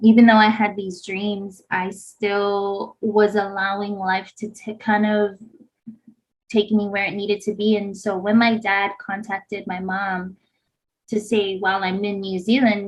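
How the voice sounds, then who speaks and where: A woman's voice: medium pitch, level tone, a little slow. A woman in her thirties who grew up in Hawaii, co-hosting a podcast and telling her story in a casual, rambling way with lots of "like". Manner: rambling, heavy use of "like". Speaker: podcast co-host. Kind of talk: casual chat, personal story. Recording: slightly dull lo-fi sound.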